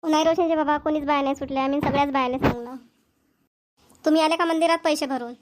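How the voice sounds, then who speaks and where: A hurried village mother in a homely cartoon comedy, acted by a woman. Her voice is high and she rattles along quickly in rustic Vidarbha Marathi, pressing each point again. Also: fairly even intonation, noisy background, close to an everyday voice. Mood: satisfied. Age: middle-aged. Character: busy village mother rushing to invite neighbours to engagement. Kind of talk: amateur cartoon comedy dialogue.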